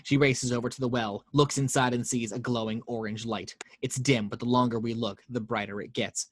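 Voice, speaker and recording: A man reads horror stage directions at a mid pitch, in a steady storytelling way with the odd stumble. His voice is dry and close, with no room sound. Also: fairly quick, dry recording. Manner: steady storytelling read with small slips. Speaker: narrator reading action lines. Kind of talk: table read narration.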